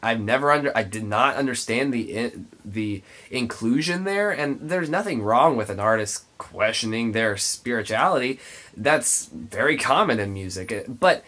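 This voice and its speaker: A man's voice: mid-range voice, bright, forceful tone. A man with a congested, nasal voice giving an emphatic, opinionated album review as the host. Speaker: music reviewer, show host. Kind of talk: spoken album review to camera.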